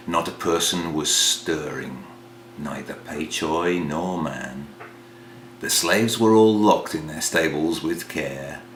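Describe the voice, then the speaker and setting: A man reciting verse as a storyteller, with a dramatic delivery that moves between hushed and exclaimed phrases. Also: rumbling low. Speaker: reader of a comic poem. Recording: roomy echo, faint hum.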